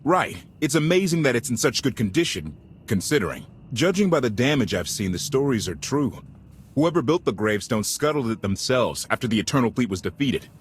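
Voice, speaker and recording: A man's voice: medium pitch, sing-song, brisk, slight background noise, natural voice. A man playing a brash, enthusiastic rebel pilot in a sci-fi game, talking casually with wry earnestness. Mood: wry, upbeat.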